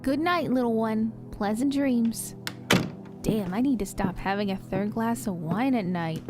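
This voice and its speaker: A woman's voice: fairly high pitch, unhurried, close to an everyday voice. A woman playing a night nurse, scolding yet caring, in a horror audio drama. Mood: soothing, sheepish. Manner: stern scolding turning soft. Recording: loud music behind the voice.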